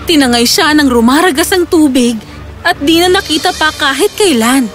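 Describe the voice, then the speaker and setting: A woman with a high voice narrates a children's fairy tale. It is scripted storytelling, with soft music running underneath. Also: moderate pace.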